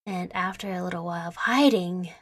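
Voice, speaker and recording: A woman's voice: fairly low pitch, a little fast, no echo, close to an everyday voice. A woman playing a proud, combative young fairy in an audio roleplay, brash and sarcastic. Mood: indignant, boastful.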